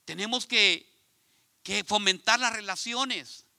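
Animated Ecuadorian pastor, bright voice. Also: male voice, sits high, takes it slowly, vocal fry, no room sound, clean studio sound. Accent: Ecuadorian Spanish. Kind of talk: sermon. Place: church.

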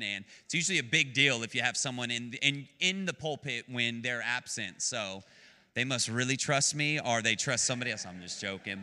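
A man's voice: mid-range voice, pushed and bright. A man in his late twenties with an American accent, preaching as a guest pastor in an enthusiastic, chatty way with jokes for the congregation. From United States. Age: 20s. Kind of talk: sermon. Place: church sanctuary. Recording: long church-hall reverb.

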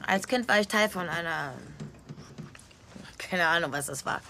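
A woman's voice: on the low side, measured pace, pushed and bright, slight background noise. A woman playing an eccentric, menacing drifter and killer in a German screen dub, speaking in a blunt, offhand way. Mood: dreamy menace. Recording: music behind the voice.

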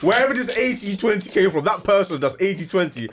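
A man's voice: sits high, animated, quick pace, heavy background noise. A man playing devil's advocate in a casual podcast chat, stumbling over his words as he makes his point. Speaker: podcast co-host.

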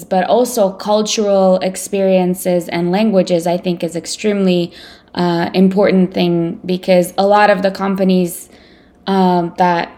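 Lower-voiced woman from Ukraine. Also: darkish tone. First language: Russian. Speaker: interviewer, security professional. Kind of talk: conversational interview, thoughtful.

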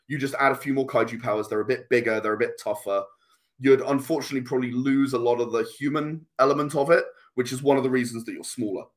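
A man with a mid-pitched voice talks as a guest in a casual interview. He is a game designer who explains things at length and with enthusiasm. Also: steady tone, fast talker.

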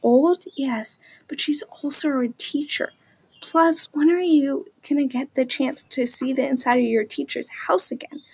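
A woman acts out a curious, headstrong schoolgirl in an amateur audio drama. Her voice is high, with a bossy, confident edge. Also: fairly even intonation, unhurried, a little mellow. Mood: defiant.

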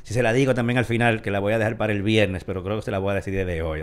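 A man hosting a weekly live tech show, talking in a chatty, rambling way with many fillers. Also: fairly low pitch. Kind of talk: casual live show talk.

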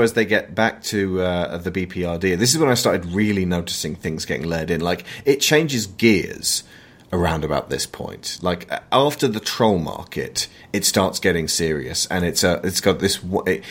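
A man hosting a conversational film discussion, emphatic and opinionated, sometimes halting as he searches for words. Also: deep voice, animated, breathy edge. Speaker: podcast host.

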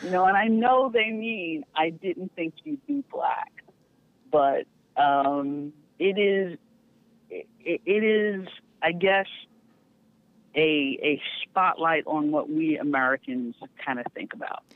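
A woman's voice: low voice, slow, dry recording. Frank woman on a tinny line. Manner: frank, lively, laughs easily. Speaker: podcast guest. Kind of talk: candid storytelling. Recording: tinny phone-line sound, dry.

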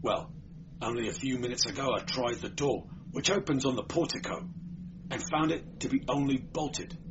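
A man's voice: medium pitch, moderate pace, natural voice. A man voicing an anxious, dutiful night watchman who explains earnestly in an audiobook story. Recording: dull, noisy amateur recording.

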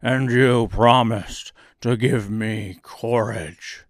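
Man doing a slow, heavy cowardly lion. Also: medium pitch, dry recording, clean studio sound. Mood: demanding. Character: Cowardly Lion, big slow anxious beast.

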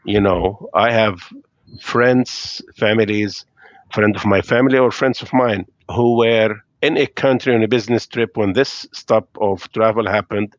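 A man's voice: fairly low pitch, measured pace, dry recording, lo-fi sound. A middle-aged man from Lebanon, speaking thoughtfully with hesitations as a guest in a remote interview from home. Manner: thoughtful, hesitant with fillers, slightly non-native phrasing. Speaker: podcast guest. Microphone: video call.

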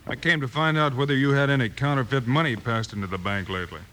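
Man as a bright-voiced frontier lawman. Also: mid-range voice, fast talker, close to an everyday voice. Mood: purposeful. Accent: American. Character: steady, purposeful frontier lawman hero, male. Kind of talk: old-time radio western acting. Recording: faintly noisy old radio recording.

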